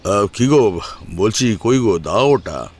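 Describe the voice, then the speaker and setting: A man acting an elderly father-in-law, head of the household, in a dramatised audio story, speaking haltingly. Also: on the low side, expressive, darkish tone. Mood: contented, familiar. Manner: halting, repeats words when moved.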